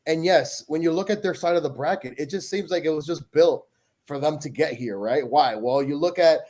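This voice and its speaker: A man from Peru talking football in a casual podcast chat, emphatic and animated, often restarting his words. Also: on the high side, a little fast. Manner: emphatic, animated, repeats himself when excited. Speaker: podcast panelist.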